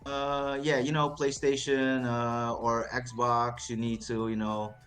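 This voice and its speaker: A man who is not a native English speaker, speaking as a guest on a casual livestream interview, halting and full of restarts. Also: medium pitch, flat delivery, a bit bright, soft background music. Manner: halting, with many restarts and fillers. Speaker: interview guest, token project owner.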